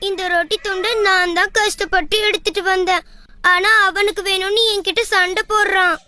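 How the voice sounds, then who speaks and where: A woman's voice: very high-pitched, steady tone, moderate pace, pushed and bright, no echo. A woman voicing a bossy, stubborn young sister cat in a children's cartoon, scolding and whiny. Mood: indignant. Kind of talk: children's animated story acting.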